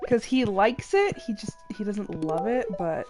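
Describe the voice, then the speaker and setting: A woman's voice: mid-range voice, lively intonation, a little slow. A young adult woman streaming a game while congested and a little sick, chatting casually and apologizing for her voice. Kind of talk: casual gameplay commentary. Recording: game music behind voice.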